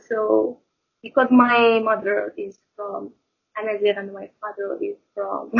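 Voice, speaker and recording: Husky-voiced Moroccan woman on a call. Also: fairly high pitch. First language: Arabic. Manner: laughs often, speaks with many fillers and restarts. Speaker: guest. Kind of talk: casual video call chat. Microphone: phone camera on video call. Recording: muffled lo-fi video call audio.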